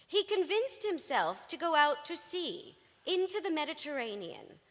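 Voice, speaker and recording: A woman's voice: high voice, sing-song, a little slow. A woman performing dramatic spoken word, with emphatic, rhetorical delivery. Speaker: reader in a staged ensemble performance. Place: large synagogue hall, live performance. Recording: reverberant hall.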